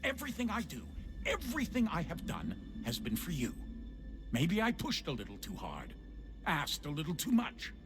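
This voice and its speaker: An actor playing a stern, demanding father in a video game, gruff, scolding and urgent. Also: fairly high pitch, wildly swooping pitch, moderate pace, music in the background. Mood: angry, urgent. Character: stern, demanding older father of a grown son. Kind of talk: video game cutscene acting.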